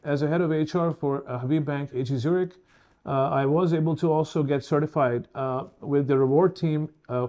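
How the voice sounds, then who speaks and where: Mellow, higher-voiced man hosting a webinar. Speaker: webinar host. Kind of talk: semi-formal webinar presenting.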